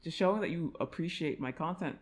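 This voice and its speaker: A woman's voice: sits low, a little fast, a little mellow. A woman audio creator talking casually to her listeners in an effusive, rambling way. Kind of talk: casual, informal outro chat to listeners.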